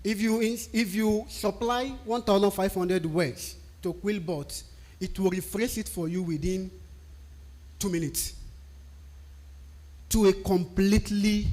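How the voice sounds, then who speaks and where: A man's voice: high-pitched, warm tone. A man from Nigeria with a Nigerian accent, not a native English speaker, giving a live-stream talk that explains technical writing and often asks and answers his own questions. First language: a Nigerian language.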